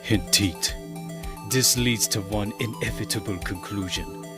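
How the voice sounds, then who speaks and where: A man's voice: lowish voice, measured pace, close to an everyday voice. An actor playing an arrogant, bossy male prosecutor with a pompous, theatrical and condescending delivery in a fan audio drama. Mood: haughty. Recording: voice over background music.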